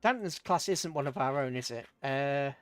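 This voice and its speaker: A man's voice: medium pitch, animated, moderate pace, a bit bright, dry recording. A British man casually commentating a strategy game on a livestream, chatting with viewers and muttering rambling asides to himself as he plays.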